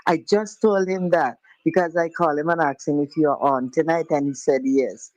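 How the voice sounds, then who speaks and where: A woman's voice: low voice, steady tone, normal speed, darkish tone, no echo, low-quality recording. A woman phoning a radio show, chatting in a casual, familiar way. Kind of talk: casual phone-in chat. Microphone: phone call.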